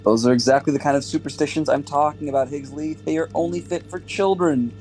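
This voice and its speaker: A man's voice: on the high side, fairly quick, darkish tone, soft background music, lightly acted, low-quality recording. A young man playing a curious, naive and slightly snobbish alien trainee in an audio drama, with a questioning, hesitant manner. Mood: skeptical.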